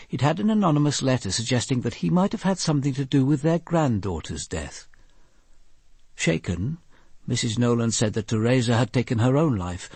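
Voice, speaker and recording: An actor playing a composed British detective, giving formal, measured first-person narration in a drama. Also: male voice, medium pitch, darkish tone, husky, dry recording.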